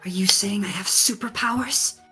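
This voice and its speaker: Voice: medium pitch, moderate pace, pushed and bright, soft background music, lightly acted. A performer voicing a flustered young prince who stammers and doubts himself in a tabletop game. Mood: amazed. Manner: stammering, hesitant.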